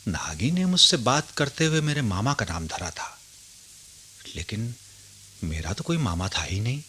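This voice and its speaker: A man's voice: lowish voice, sing-song, measured pace, pushed and bright, slight background noise, heavily acted. A man playing a reflective grown householder who narrates his memories in a radio play.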